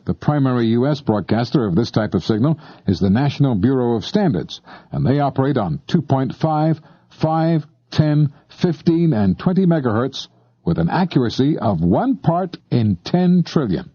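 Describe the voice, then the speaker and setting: A man's voice: medium pitch, very animated, moderate pace, slightly rough, no echo, lo-fi sound. A man giving scripted explanatory narration in a conversational way, speaking straight to the listener. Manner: conversational, addressing the listener directly. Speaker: narrator of an instructional tape on shortwave listening.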